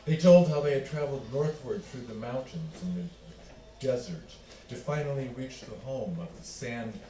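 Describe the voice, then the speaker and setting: A man reading literary fiction aloud as its author, in a measured, deliberate way with pauses between phrases. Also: mid-range voice, animated, mellow. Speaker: author reading own work. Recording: roomy, distant miking with faint noise.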